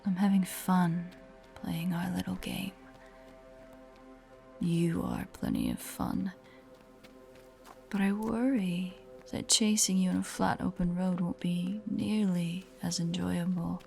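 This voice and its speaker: Slow, whispering female spider monster, acted by a woman. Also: on the low side, lively intonation, dark tone, faint music behind, exaggerated voice. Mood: playful menace. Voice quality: breathy, whispery. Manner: slow, taunting, playfully sinister. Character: predatory female spider-woman monster, teasing, menacing, possessive. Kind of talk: horror audio roleplay, addressed to the listener.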